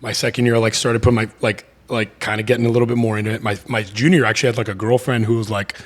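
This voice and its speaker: Deep-voiced man rambling in casual chat. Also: little pitch movement, rapid-fire. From Miami area. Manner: rambling, many fillers and restarts. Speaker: podcast guest. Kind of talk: casual podcast conversation.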